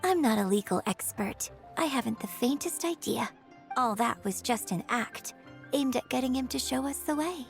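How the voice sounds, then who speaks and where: A woman playing a poised, polite and quietly cunning young opera performer in a video game. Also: high voice, expressive, moderate pace, gravelly. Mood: calm, sly. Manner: composed, courteous, feigned innocence. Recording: background music under dialogue.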